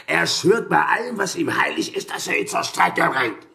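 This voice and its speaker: A man's voice: fairly high pitch, very animated, normal speed, gravelly, sharp tone, exaggerated voice. An actor playing an agitated, gossiping townsman in an old port, theatrical, in an audio drama. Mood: indignant. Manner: theatrical, swinging from mutters to exclamations. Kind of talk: German audio drama acting.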